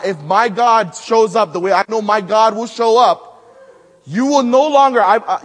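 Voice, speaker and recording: A man from Hawaii preaching at a conference, building to loud emphatic peaks and dropping to hushed asides. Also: in a large hall. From Hawaii (Maui). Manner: emphatic, swings between loud rising build-ups and hushed low asides. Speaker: guest preacher. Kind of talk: conference sermon with storytelling.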